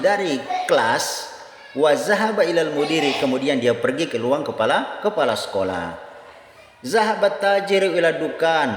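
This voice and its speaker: A man's voice: fairly high pitch, a little hiss or hum. A man with an Indonesian accent giving a language lesson, reading phrases aloud, repeating them and explaining them didactically. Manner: didactic, repeats phrases and asks himself questions. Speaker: Arabic language teacher. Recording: echoey room with long reverb.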